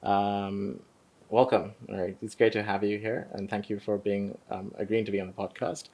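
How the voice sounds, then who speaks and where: A man's voice: fairly low pitch, flat delivery, moderate pace, a little mellow. A man hosting a podcast interview, asking questions with many hesitations and fillers. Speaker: podcast host.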